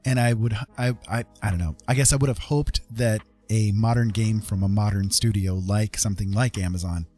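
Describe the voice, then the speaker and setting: A man's voice: fairly low pitch, fairly even intonation, normal speed, hardly any pauses, darkish tone, soft background music. A man giving casual live gameplay commentary as a streamer, chatty and thinking aloud. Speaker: game streamer, host.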